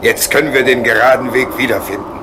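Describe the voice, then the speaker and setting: A man plays a fatherly locomotive driver in a children's radio play. He is plain-spoken and reassuring, with a medium-pitched voice. Heavy engine rumble and noise sit behind him. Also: fairly even intonation, fast, lightly acted. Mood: relieved, determined. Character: steady, fatherly adult locomotive driver, calm in danger.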